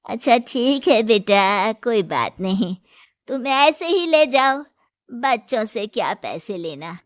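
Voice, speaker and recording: A woman playing a gentle, patient elderly mother-in-law who keeps a small shop in a Hindi moral story. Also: a little high, very animated, takes it slowly, no echo, clean studio sound. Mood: kindly, indulgent.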